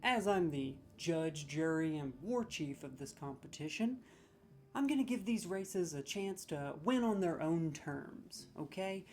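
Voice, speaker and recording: A man hosting a comedic gaming video, talking in an excitable, rambling, sarcastic way and swearing freely. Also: a little high, unhurried.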